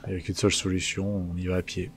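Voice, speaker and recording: A man playing a character in a tabletop game, speaking in a measured, deliberate and slightly formal way. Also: sits low, monotone, creaky, a little hiss or hum. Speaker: player.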